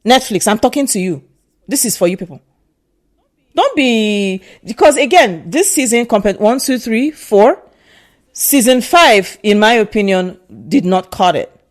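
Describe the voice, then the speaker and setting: Nigerian-accented woman, vlogger, lively swooping pitch, emphatic and repetitive. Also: a little slow. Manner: emphatic and animated, repeats herself for stress. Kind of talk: casual opinion vlog.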